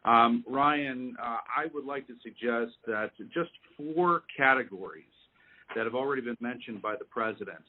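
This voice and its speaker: A man speaking in a formal, measured, lawyerly way as he negotiates on a conference call. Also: mid-range voice. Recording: narrow, tinny phone line.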